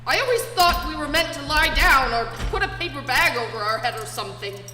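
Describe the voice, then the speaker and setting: A courteous, uneasy pub bartender played by a woman on stage with a high, bright voice. It is live radio-play acting in a reverberant hall with music behind. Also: animated, a little fast, slight background noise. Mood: polite, uneasy. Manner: polite, questioning. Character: polite, anxious pub bartender. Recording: echoey hall, faint music.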